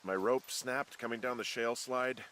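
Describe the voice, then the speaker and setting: A man's voice: mid-range voice, steady tone, normal speed, a bit bright, slight background noise, natural voice. An actor playing an easygoing, self-deprecating American man out in the wilderness, talking in a casual, hesitant, dry way. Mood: wry, weary. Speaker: player character, fire lookout. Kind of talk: naturalistic game voice acting.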